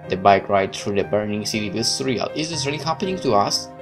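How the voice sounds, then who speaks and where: A woman's voice: very deep voice, expressive, quick pace, music in the background. A woman reading a visual novel aloud expressively, with casual commentary as she plays.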